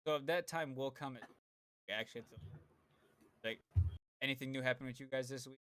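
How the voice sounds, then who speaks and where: A man's voice: medium pitch, brisk, fairly bright tone, clear, resonant tone, no room sound. A man co-hosting a casual podcast, rambling and laid-back, swearing freely. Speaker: podcast co-host.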